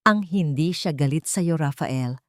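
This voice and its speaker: Woman playing a solemn, resolute Filipino mother, low-pitched. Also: unhurried, no echo, studio quality. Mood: sincere. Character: grateful, resolute mother who survived betrayal.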